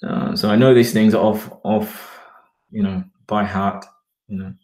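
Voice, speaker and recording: A man talking casually to his viewers, rambling and often checking in with "okay" while reading web pages aloud slowly. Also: lowish voice, little pitch movement, a little mellow, poor audio. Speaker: vlogger. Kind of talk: casual talk to camera.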